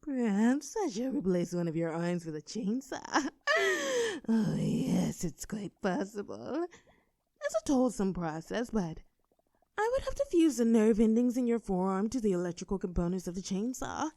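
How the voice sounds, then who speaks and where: A woman's voice: mid-range voice, very animated, moderate pace, mellow, dry recording. A woman playing a gleefully cruel female mad scientist in a horror audio roleplay, speaking theatrically in precise, formal phrases. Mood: musing, excited.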